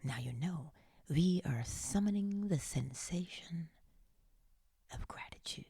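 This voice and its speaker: A woman leading a guided meditation in a hushed, soothing, breathy and often whispered voice, coaxing gently with long pauses. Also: fairly low pitch, expressive, no echo, studio quality. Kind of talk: slow guided meditation narration, partly whispered.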